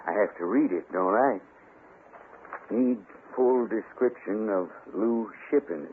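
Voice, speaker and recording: A prickly telegraph clerk in an old radio western. His voice is fairly low, fussy and halting, with a cowed edge. The recording is muffled and narrow, with slight hiss. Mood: suspicious, curt. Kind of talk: old-time radio western drama acting.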